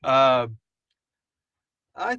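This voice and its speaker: A man's voice: medium pitch, lively intonation, drawn-out words, gravelly, sharp tone, clear, resonant tone, no echo, dull audio. A man co-hosting a live podcast Q&A in an informal, rambling, jokey way, casually reading out and answering listener questions. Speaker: co-host and producer of an audio drama podcast.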